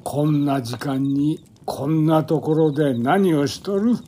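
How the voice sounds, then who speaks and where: A man's voice: on the high side, unhurried, warm tone, husky. An actor playing a blunt, folksy old man who patrols a forest, speaking rustic Japanese old-man dialect in a radio drama. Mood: gruff, questioning. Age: older. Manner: blunt, folksy, elderly turns of phrase.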